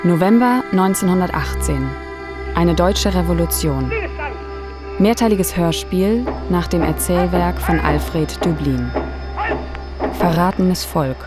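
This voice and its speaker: A woman reading scripted credits as an announcer in a measured, formal manner. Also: on the low side. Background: music.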